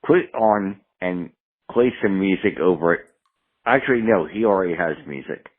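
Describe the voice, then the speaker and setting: A man's voice: sits low, animated, moderate pace. A man leaving a rambling, profane voicemail for a show, jumping from topic to topic. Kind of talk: rambling phone message. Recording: tinny phone line voicemail.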